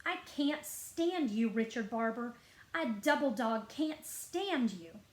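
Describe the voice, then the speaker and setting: A woman's voice: a little high, lively intonation, takes it slowly. A woman voicing a stubborn, competitive little sister in a storybook, insistent and indignant. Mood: furious. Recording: roomy, echoing indoor sound.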